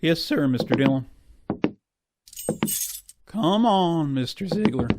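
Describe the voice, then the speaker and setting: Man acting an animated, folksy western deputy. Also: a little high, slow pace, very noisy. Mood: dutiful. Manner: deferential, folksy. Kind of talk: old-time western radio drama acting.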